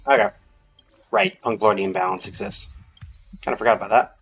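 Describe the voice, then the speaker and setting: A man's voice: lowish voice, a little fast, vocal fry, fairly bright tone. A man giving casual gameplay commentary as a streamer, half-muttering his thoughts aloud to himself. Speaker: game streamer. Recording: music behind the voice.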